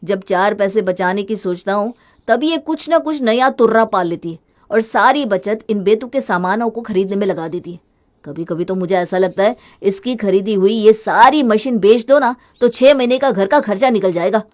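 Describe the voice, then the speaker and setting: A woman's voice: mid-range voice, moderate pace, natural voice. A woman doing a fed-up mocking husband. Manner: mocking, sarcastic. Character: fed-up young husband, mocking his wife. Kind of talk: storybook voice acting.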